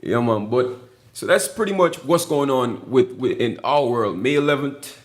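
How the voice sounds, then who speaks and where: An upbeat man co-hosts a casual podcast, tossing in "yeah, man" as he plugs events and keeps the talk going. His voice sits at a medium pitch. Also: lively intonation, moderate pace. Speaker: podcast co-host. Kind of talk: casual interview chat.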